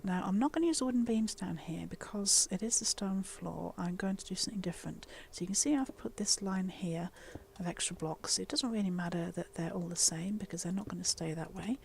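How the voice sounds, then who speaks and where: Soft, wheezy woman explaining a build. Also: fairly low pitch, brisk, gravelly, few pauses, slight background noise. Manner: soft, half-whispered explaining. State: wheezy from a chest infection. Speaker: Minecraft building tutor. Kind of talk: casual game tutorial voice-over.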